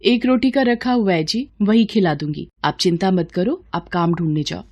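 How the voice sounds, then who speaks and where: A woman playing a gentle, self-sacrificing poor wife and mother in a cartoon story. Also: mid-range voice, normal speed, natural voice. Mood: gentle, reassuring. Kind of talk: Hindi cartoon story voice acting.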